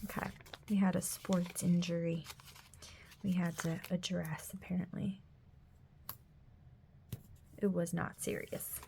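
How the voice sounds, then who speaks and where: A gravelly-voiced woman in her thirties chats in a loose, rambling way, full of "like," and keeps dropping to a near whisper. She sounds sniffly, with a scratchy throat. A faint hiss sits under the recording. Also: on the low side, level tone, takes it slowly, long pauses, warm tone. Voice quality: gravelly, drops often into a whisper. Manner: chatty, rambling, lots of "like". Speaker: planner vlogger, mother. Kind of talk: casual chat while decorating a planner. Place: home room.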